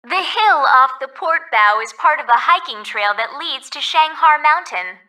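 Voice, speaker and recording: A woman's voice: high-pitched, animated, a little slow, bright, forceful tone, exaggerated voice. A woman playing a polite, cheerful resort ferry guide in a video game, reading scripted tour announcements, recorded slightly distant. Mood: cheerful, informative.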